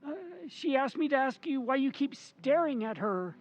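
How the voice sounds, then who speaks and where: High-pitched, timid henpecked husband, played by a woman. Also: animated, normal speed, highs cut off. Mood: meek, nervous. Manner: stammering, hesitant, pleading. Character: timid henpecked husband, fearful, meek, simple little man. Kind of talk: old-time radio horror acting.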